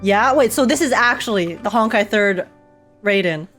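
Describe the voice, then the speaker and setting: A giggly, excitable woman giving casual reaction commentary as she plays a game. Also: mid-range voice, moderate pace, soft background music.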